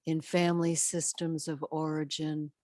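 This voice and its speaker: A woman leading a guided meditation and prayer in a gentle, soothing, measured way with long pauses, her soft voice turning breathy at phrase endings. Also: low voice, flat delivery, no echo.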